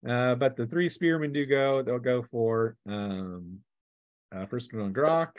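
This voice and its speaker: A man's voice: mid-range voice, a little slow, no room sound, lo-fi sound. A man acting as game master, casually narrating a tabletop game in a playful way, with spoken sound effects.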